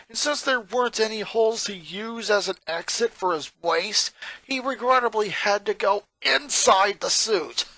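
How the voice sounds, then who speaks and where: Animated story narrator, dry close sound. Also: medium pitch, no room sound, low-quality recording. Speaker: narrator reading fan fiction aloud.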